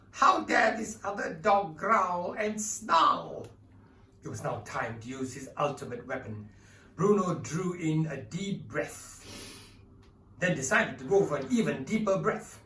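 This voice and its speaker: A man telling a dramatised story for children, expressive and speaking directly to his listeners. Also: sits high, slight background noise. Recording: roomy, distant mic with faint music.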